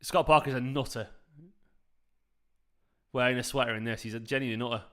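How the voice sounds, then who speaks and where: Young South Manchester man, bright forward voice, rambling chatty fan-stream host. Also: mid-range voice, steady tone, normal speed. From South Manchester, England. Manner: chatty, rambling, talks to viewers. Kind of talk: live football watchalong chat.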